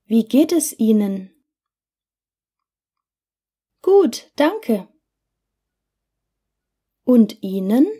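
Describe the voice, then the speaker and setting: A woman's voice: a little high, very animated, extremely slow, long pauses, warm tone, husky. A woman language teacher reading scripted example dialogues for beginners in careful, simple short phrases.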